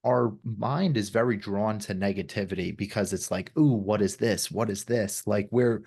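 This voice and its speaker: A man's voice: fairly low pitch, moderate pace, vocal fry, darkish tone, slightly rough. A man hosting a podcast, speaking reflectively in casual conversation and often checking in with the listener. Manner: reflective, often adds "right?". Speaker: podcast host.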